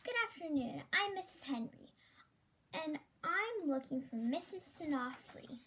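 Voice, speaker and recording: A woman's voice: high-pitched, animated, unhurried, pushed and bright, slight background noise, full character voice. A girl of about ten playing an earnest, determined young married woman in a school radio play. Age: child of about ten.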